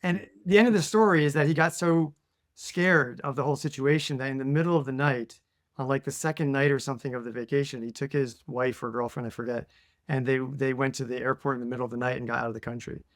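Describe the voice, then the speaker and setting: Quick, chatty man telling an anecdote. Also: medium pitch, fairly even intonation, no echo, clean studio sound. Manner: chatty storyteller, quick questions. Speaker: podcast co-host. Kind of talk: casual interview, telling anecdotes.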